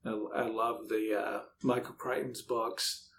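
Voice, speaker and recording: A man's voice: fairly low pitch, little pitch movement, slow pace, a bit bright, poor audio. A man talking casually in a podcast interview, rambling a little as he thinks aloud. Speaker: podcast host and author being interviewed. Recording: faint background noise.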